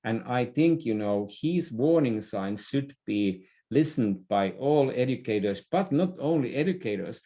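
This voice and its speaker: A middle-aged man from Finland with a Finnish accent, speaking as an opinionated guest in a podcast interview, halting and repeating words as he makes his points. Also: mid-range voice, expressive, warm tone. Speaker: interview guest, author and business thinker.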